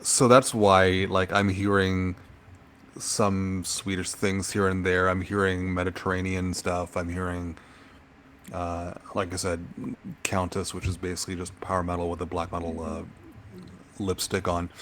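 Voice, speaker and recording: A middle-aged man co-hosting a casual music podcast, talking in a thoughtful, measured way with frequent pauses and ums. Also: low-pitched, flat delivery, a bit bright, slight background noise. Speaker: podcast co-host.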